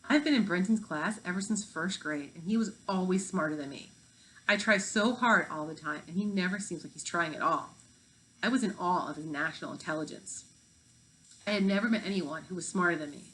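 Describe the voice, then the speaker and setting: A prim fifth-grade overachiever voiced by a woman speaks with earnest emphasis. She is a storybook schoolgirl, studious and quick to judge. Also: lowish voice, fairly bright tone, slight background noise, close to an everyday voice, low-quality recording. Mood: awed. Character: earnest, prim, judgmental high-achieving fifth-grade girl.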